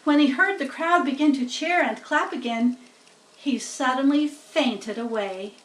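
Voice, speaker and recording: A woman reading a children's story aloud expressively, in a deliberate, teacherly way. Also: sits high. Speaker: storybook reader. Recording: roomy echo, distant microphone.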